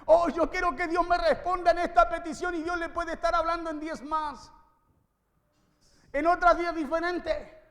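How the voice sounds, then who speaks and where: Man, preacher, extremely high pitch, impassioned exhorting sermon, emphatic repetition, long hall reverb. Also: little pitch movement, normal speed, fairly bright tone. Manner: exhorting, repeats phrases for emphasis. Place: large reverberant room, preaching to a congregation.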